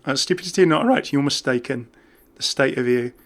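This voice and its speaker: A man's voice: medium pitch, a little fast. A man giving casual, jokey commentary as a gaming video host. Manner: chatty, jokey.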